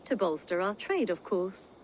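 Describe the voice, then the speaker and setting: An actress playing a composed, polite huntress and trader in a video game, speaking articulately in a mid-pitched voice. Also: female voice, very animated, measured pace, a little hiss or hum. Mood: courteous, persuasive. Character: poised, courteous huntress and trader woman. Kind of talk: video game cutscene acting.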